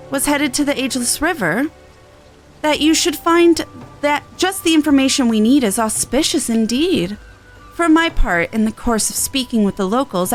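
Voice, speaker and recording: A woman voicing an earnest, kindly festival envoy from a fantasy game, with formal, archaic, measured phrasing. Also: a little high, close to an everyday voice. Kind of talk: reading game dialogue aloud.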